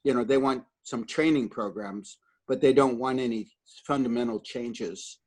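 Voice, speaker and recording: Male panelist, steady and measured, mid-pitched voice. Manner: measured, uses "uh" fillers. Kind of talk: panel discussion.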